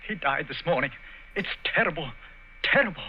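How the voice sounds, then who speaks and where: A grief-stricken colonel in a 1940s radio play, shaken and trailing off. His voice is slightly high. The old recording sounds dull and lo-fi with faint hiss. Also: animated, moderate pace. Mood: grieving.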